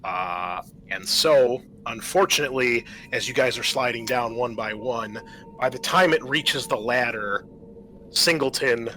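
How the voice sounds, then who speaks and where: A man's voice: mid-range voice, unhurried, pushed and bright, a little hiss or hum, low-quality recording. A man narrating a tabletop roleplaying game as game master, improvising descriptions and explaining the rules, with music behind him.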